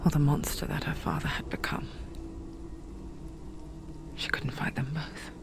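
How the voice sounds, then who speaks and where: An actress playing an intimate, knowing narrator who tells a dark game story in hushed, measured words. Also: female voice, low-pitched, very animated, gravelly, whispered, exaggerated voice. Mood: ominous, hushed.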